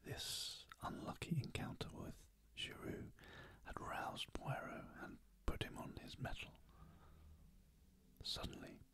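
A reader whispering an audiobook narration in a soft, steady hush. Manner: soft whisper throughout, slow and even.

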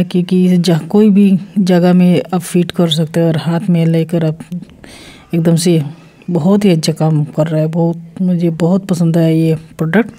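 A woman's voice: lowish voice, mellow. A woman recording a casual home vlog about a product, chatting in a rambling way with many restarts and English words mixed in. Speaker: vlogger reviewing a product. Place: small room at home, indoors.

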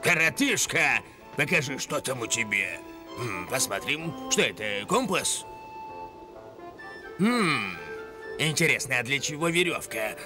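A man's voice: medium pitch, big pitch swings, a little slow, long pauses. A man voicing a scheming, scornful wolf-like cartoon villain, bossy and theatrical in delivery. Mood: mocking. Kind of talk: dubbed cartoon acting. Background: dramatic music.

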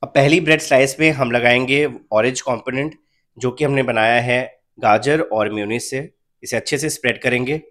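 A young man calmly narrating a home cooking recipe step by step as the channel's host. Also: mid-range voice. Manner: calm, step-by-step instructional.

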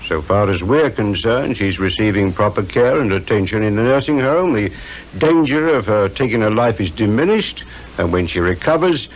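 A low-voiced British police inspector. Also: male voice, expressive, moderate pace. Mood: regretful, firm. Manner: formal, reassuring. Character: courteous, measured Scotland Yard police inspector. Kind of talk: 1950s radio drama acting. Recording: noisy 1950s radio recording.

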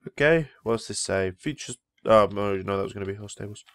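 A man's voice: on the low side, fairly quick, a bit bright, dry recording. A man who is ill, rambling casually through gameplay commentary and easily impressed by what he sees. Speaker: Minecraft let's player.

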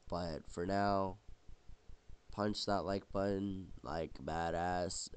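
A young man hosting a casual holiday vlog while sick with a cold, coughing now and then and rambling with halting restarts. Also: low voice, creaky, darkish tone, clear, resonant tone. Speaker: channel host.

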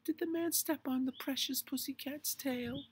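A man's voice: extremely high pitch, steady tone, normal speed, slight background noise, voice pushed up. A man voicing a fussy grown woman who dotes on her cat, cooing and exclaiming in a storybook reading.

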